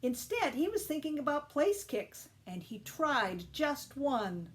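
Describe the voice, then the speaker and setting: A woman reading a children's story aloud with expressive, deliberate emphasis. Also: high voice. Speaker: storytime reader, teacher.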